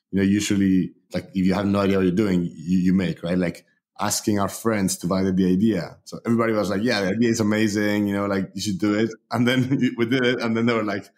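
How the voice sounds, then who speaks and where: A man from Spain, a company founder, talking as a guest in a relaxed way with many fillers. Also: on the low side. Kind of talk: casual interview talk.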